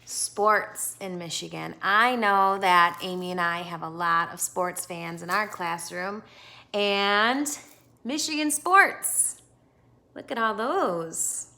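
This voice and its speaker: Bright-voiced American woman reading slowly to children. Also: mid-range voice. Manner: expressive, instructive, often asking the listeners questions. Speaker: teacher reading aloud to children. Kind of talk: children's picture-book read-aloud with asides to young listeners.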